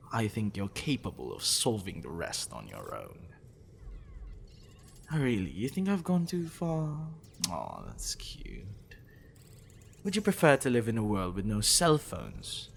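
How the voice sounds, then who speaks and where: Obsessive, smugly intellectual male professor played by a man for ASMR roleplay; low-pitched, animated, condescending, with whispered asides. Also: a little hiss or hum, full character voice. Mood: mocking, condescending. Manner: condescending, teasing, deliberate, dropping into breathy whispers. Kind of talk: ASMR roleplay, addressing the listener intimately.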